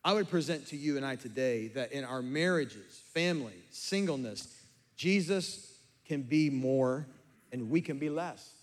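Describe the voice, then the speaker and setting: Slightly hoarse pastor preaching in a hall. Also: male voice, medium pitch, measured pace. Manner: builds from calm teaching to fervent emphatic preaching. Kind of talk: sermon. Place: church sanctuary with congregation, also streamed online. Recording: long hall reverb.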